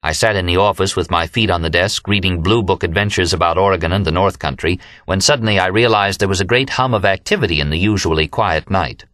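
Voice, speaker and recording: Low-voiced American man narrating a novel. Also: fast, hardly any pauses, a bit bright, no room sound, clean studio sound. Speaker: audiobook narrator. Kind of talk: scripted first-person novel narration.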